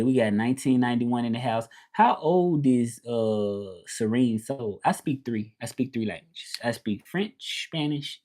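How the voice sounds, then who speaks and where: A man's voice: medium pitch, a little mellow. A man in his thirties with a Southern US accent, hosting a casual livestream and rambling as he repeats himself and answers viewers. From Southern United States. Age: 30s. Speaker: livestream host.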